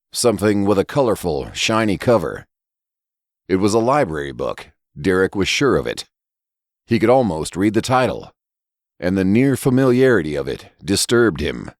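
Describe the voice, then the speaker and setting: A man reading scripted fiction as a narrator, in a deliberate, expressive storytelling manner. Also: dry recording, clean studio sound. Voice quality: low-pitched voice. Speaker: story reader and podcast narrator.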